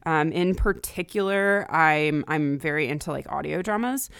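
Woman, casual podcast guest co-host. Clear, lower-pitched voice, talkative, loose conversational phrasing, dry sound. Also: normal speed, fairly bright tone, no echo. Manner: chatty, laughs while talking, often says "like".

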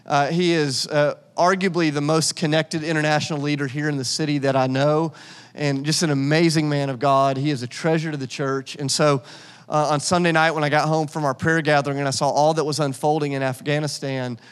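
Higher-voiced pastor in a reverberant church. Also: male voice, level tone, a little fast, clear, resonant tone. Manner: conversational, warm pastoral delivery with restarts. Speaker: lead pastor. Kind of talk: sermon and guest introduction. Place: church sanctuary. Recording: long church hall reverb.

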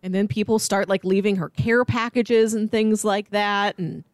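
A woman's voice: medium pitch, steady tone, normal speed, dry recording, clean studio sound. A woman co-hosting a casual film podcast, explaining things in an earnest, conversational way. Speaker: podcast co-host.

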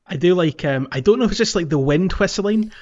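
A man's voice: sits high, expressive, brisk, darkish tone, lo-fi sound. A man hosting a podcast, discussing a video game casually and often chuckling mid-sentence. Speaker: podcast host.

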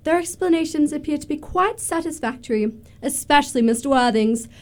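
Haughty young society lady , played by an actress, high voice, imperious and precise, period radio comedy. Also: expressive, a little fast. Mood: stately, probing. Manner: precise, declamatory, pompous. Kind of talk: staged radio comedy of manners.

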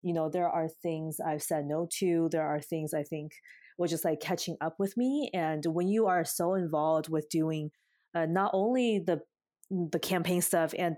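Campaign organizer, female, lowish voice, earnest reflective delivery, dry recording. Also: warm tone, dry recording. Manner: earnest, thoughtful, with fillers. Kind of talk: casual chat.

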